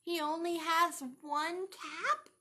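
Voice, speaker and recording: Woman, children's storytime reader, bright voice, unhurried pace, expressive, interactive questioning. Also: extremely high pitch. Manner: expressive, asks the listeners questions. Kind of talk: interactive picture book read-aloud for young children.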